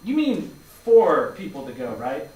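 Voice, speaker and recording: A man's voice: on the high side, wildly swooping pitch, a little slow, darkish tone. Roomy, distant, dryly skeptical actor as a male customer. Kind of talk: staged play reading. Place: live staged reading in a room. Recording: roomy, distant mic, faint noise.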